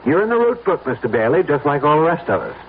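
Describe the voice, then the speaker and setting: A man's voice: a little high, sing-song. An American man playing a bitter, insistent victim pushing a plot in a vintage radio drama. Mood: grimly confiding. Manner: insistent, pressing, deliberate. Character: bitter, insistent male blackmail victim recruiting a murder plot. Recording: noisy narrowband old radio recording.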